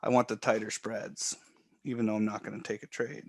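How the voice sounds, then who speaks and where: A man calmly walking viewers step by step through charts and trading software on a live stream. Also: on the low side, a little fast. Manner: calm, explanatory, step-by-step. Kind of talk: live screen-share trading walkthrough.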